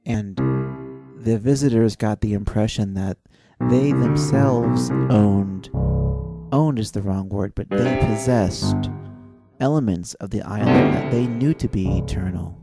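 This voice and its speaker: A man telling a dreamlike spoken-word adventure tale in halting, musing phrases with dramatic pauses. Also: lowish voice, creaky. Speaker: storyteller, narrator. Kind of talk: loose, dreamlike spoken-word storytelling in an old-time radio adventure manner. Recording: voice over easy-listening music bed. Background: instrumental easy-listening music with guitar.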